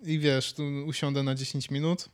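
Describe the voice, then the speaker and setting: A man's voice: mid-range voice, little pitch movement, normal speed. A man, talking casually as a podcast co-host. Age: 20s. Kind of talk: casual chat.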